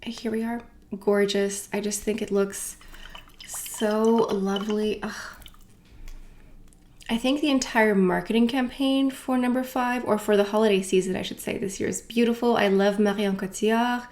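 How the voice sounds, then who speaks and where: A woman's voice: mid-range voice, steady tone, moderate pace, darkish tone. A woman chatting casually as she unboxes and reviews beauty products, rambling with asides and fillers. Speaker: beauty vlogger.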